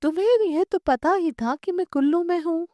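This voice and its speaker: A woman voicing an excitable, reproachful woman who exclaims and chides an old acquaintance in an audiobook story. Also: very high voice, moderate pace, no echo, pitched above the performer's own voice. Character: unmarried woman, excitable, reproachful, eager to keep company. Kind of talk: audiobook short story dialogue.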